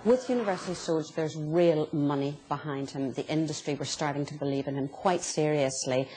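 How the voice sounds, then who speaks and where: A woman's voice: low-pitched, moderate pace, music in the background, rough recording. A middle-aged woman giving commentary in a studio interview. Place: indoor studio set.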